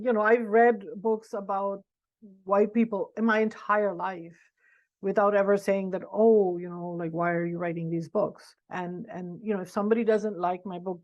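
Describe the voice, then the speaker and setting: Frank middle-aged woman author, dull audio. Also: medium pitch. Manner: frank, reflective, thinks aloud with restarts. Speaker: author being interviewed. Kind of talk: candid conversational interview answers.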